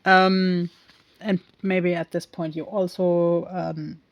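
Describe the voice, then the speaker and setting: Woman, film recap, slow-paced, rambling, hesitant, lots of fillers, second-language English, dry close sound. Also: lowish voice, level tone, dry recording. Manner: rambling, goes off on tangents; hesitant, many pauses and fillers. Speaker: film reviewer. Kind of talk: casual film recap with tarot cards.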